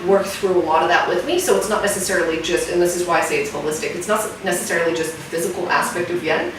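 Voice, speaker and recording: A low-pitched, middle-aged woman with a Canadian accent speaks reflectively, often restarting her sentences. She is recorded from a distance in a very echoey room. Steady background noise sits under her voice. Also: quick pace. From Singapore. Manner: thoughtful, reflective, with frequent restarts. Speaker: interviewee, fitness client. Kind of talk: casual interview testimonial.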